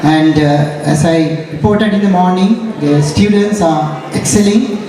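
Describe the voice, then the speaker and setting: Halting Sri Lankan man in a hall. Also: high-pitched. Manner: halting, many fillers. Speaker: dean giving a speech. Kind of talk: after-dinner speech. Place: large banquet hall. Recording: echoey hall, mic far off, crowd noise.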